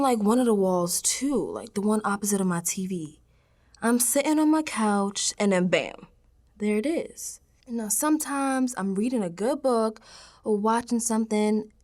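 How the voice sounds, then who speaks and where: A woman's voice: mid-range voice, lightly acted. A woman playing a streetwise young New Yorker, telling her spooked audio diary in a slangy, conversational radio drama monologue. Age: young adult. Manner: slangy, conversational, dropping into hushed asides.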